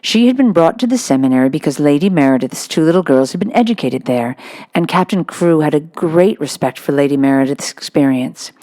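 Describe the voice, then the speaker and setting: Low-pitched woman reading a children's storybook. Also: lively intonation, fairly quick, warm tone, dry recording. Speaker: audiobook reader. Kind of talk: scripted storybook narration for children.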